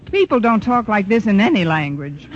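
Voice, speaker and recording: Actress as a witty English teacher narrating, dry wisecracks, 1940s radio, faint hiss. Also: female voice, medium pitch, sing-song, moderate pace, muffled recording, natural voice. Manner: dry, sarcastic quips. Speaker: narrator and lead.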